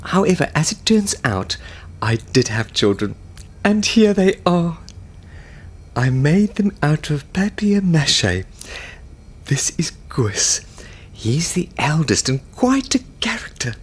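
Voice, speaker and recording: A man voicing a wistful, doting parrot puppet in an earnest comic monologue, with a South African accent and a husky voice. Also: a little high, very animated, slow pace, full character voice. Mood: proud, fond.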